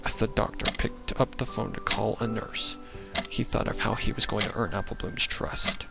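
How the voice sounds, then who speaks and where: A man's voice: fairly low pitch, fairly even intonation, moderate pace, creaky. A man reading a scripted dramatic story as its narrator. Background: loud background music.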